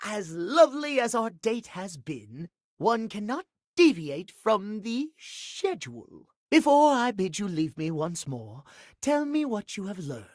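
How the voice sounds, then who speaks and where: A man's voice: high voice, very animated, measured pace, dry recording, studio quality. A male actor voicing a fussy, romantic timepiece in a video game, with formal, theatrical, precise diction. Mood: fussy, pedantic. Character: fussy, prim, schedule-obsessed male timepiece, romantic dandy. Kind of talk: video game character acting.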